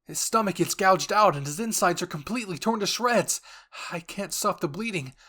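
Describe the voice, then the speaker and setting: A man's voice: high-pitched, expressive, normal speed, bright, forceful tone, husky, voice pushed up, full character voice. A man voicing a panicked, loyal young soldier in an anime roleplay, urgent and agitated. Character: panicked, loyal young male soldier carrying a wounded commander.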